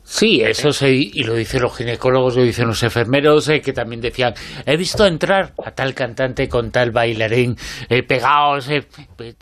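A man's voice: mid-range voice, moderate pace, fairly bright tone, dry recording. A man with a Spain Spanish accent hosting a radio show, steering the talk and joking. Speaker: host. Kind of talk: casual chat.

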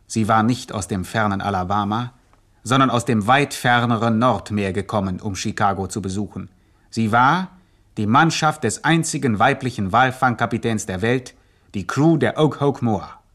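An actor as the urbane, wry narrator of a satirical radio play, reading scripted narration. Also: male voice, fairly low pitch, moderate pace, a bit bright.

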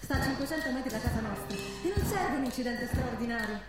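Actress as a high-voiced, defiant townswoman, echoey stage. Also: animated, fast talker, close to an everyday voice. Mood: defiant, solemn. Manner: declamatory, rhetorical repetition. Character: defiant townswoman proclaiming and reading statements. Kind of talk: theatrical declamation in a stage radio play. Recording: very echoey stage with loud music. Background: live music.

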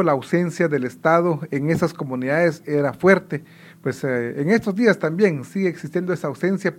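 A man in his forties from Guatemala, a native Kaqchikel speaker, explaining things in a measured way as a radio interview guest. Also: fairly high pitch. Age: 40s. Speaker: radio federation director.

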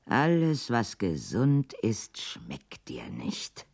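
An actress playing a weary, stubborn housewife who nurses a sick husband in a radio drama, speaking in a halting, reciting way. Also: female voice, rumbling low, huge pitch swings, vocal fry, a little mellow, breathy edge, heavily acted. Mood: reproachful, weary. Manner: slow, halting recitation, reciting chores and puzzle words to herself. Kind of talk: German radio drama acting. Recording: studio radio play with music underneath.

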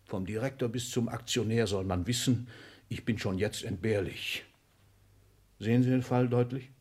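A man's voice: lowish voice, moderate pace, lightly acted. Radio play: male actor as combative, vain excellency of seventy, imperious, declamatory, swinging between muted and thunderous. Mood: scornful, brooding. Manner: imperious, declamatory, bursting from muted asides into shouts. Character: domineering seventy-year-old excellency, vain, combative industrial magnate. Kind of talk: 1960s German radio drama acting.

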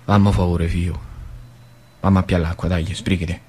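A man's voice: sits low, level tone, a little fast, a little mellow, slightly rough, soft background music, pitched below the performer's own voice. A man voicing an impatient, bossy master ceramicist with a central Italian accent, barking repeated orders at an apprentice in dialect in a stage monologue. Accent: central Italian, northern Lazio.

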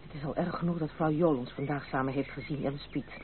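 A woman's voice: low-pitched, fairly even intonation, fast, lightly acted. An actress playing a proud, troubled young woman in love, earnest and dramatic, in a Dutch radio play. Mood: guilt-ridden, bitter. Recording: narrow, noisy old radio play recording.

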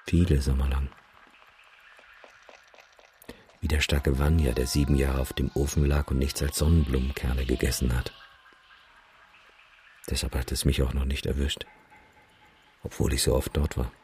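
An actor playing a weary, gruff survivor in a German radio drama, speaking in a blunt, brooding, laconic way. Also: male voice, a bass voice, flat delivery, moderate pace, long pauses, darkish tone, soft background music. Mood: wistful. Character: weary, gruff man who survived many trips into a deadly zone.

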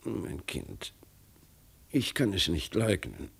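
A doddering old emperor in a vintage radio play, husky and creaky. His pitch swoops up and down as he loses his thread, stammers, repeats himself and tries to evade. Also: huge pitch swings, slow, full character voice. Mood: hesitant, pleading. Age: older. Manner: absent-minded, stammering, repeating himself, chuckling. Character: doddering old Chinese emperor, flustered, evasive father. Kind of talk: 1950s German radio drama acting.

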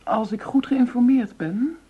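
An actress playing a cool, seductive dealer in shady money deals, poised and teasing, in a radio drama with some background noise. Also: female voice, fairly high pitch, a little slow, slightly rough, phone-quality sound. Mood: poised, probing.

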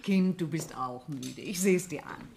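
A woman's voice: sits low, unhurried, vocal fry, a little mellow, a little hiss or hum. A woman playing a worldly middle-aged aunt in a radio drama, motherly yet snobbish, with affected French and English phrases. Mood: kindly, sociable.

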